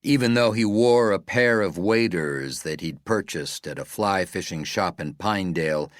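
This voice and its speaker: A man reading a novel as an audiobook narrator, in a measured, deliberate way with falling phrase endings. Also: fairly low pitch, no echo, studio quality.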